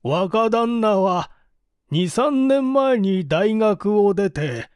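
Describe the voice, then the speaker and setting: A man playing a slow, humble middle-aged manservant. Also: high voice, a little mellow, smooth, dry recording, studio quality. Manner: slow, halting, measured testimony. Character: humble, deferential 44-year-old village manservant giving testimony. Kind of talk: audiobook reading of a vintage mystery.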